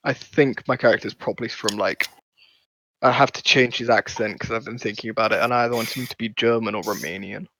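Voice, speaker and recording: A man's voice: on the low side, steady tone, brisk, pushed and bright, no room sound. A man playing in a tabletop game, talking casually and asking lots of questions. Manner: chatty, asks lots of questions. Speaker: player. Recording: dull lo-fi voice chat mic.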